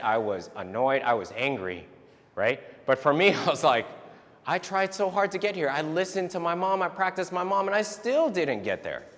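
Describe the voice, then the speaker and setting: A man whose first language is Vietnamese, giving an animated motivational talk to parents and often ending points with a quick "right?". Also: fairly high pitch. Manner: animated, tags statements with "right?". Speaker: speaker giving a talk on resilience. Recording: long hall reverb.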